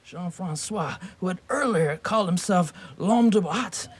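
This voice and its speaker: A man narrating a scripted radio play as a theatrical, deliberate storyteller. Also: sits high, slightly rough.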